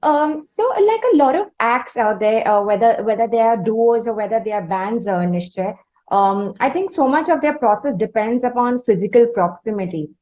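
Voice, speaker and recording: A woman's voice: on the high side, animated, normal speed, warm tone, lo-fi sound. A woman with an Indian accent hosting a music interview, speaking in an effusive, wordy, roundabout conversational way. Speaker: interviewer, podcast host.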